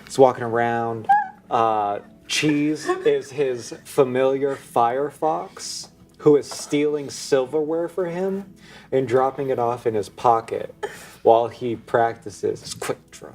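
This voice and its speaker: A man's voice: mid-range voice, slow, a little mellow. A man playing a tabletop game, describing his character in a casual improvised way.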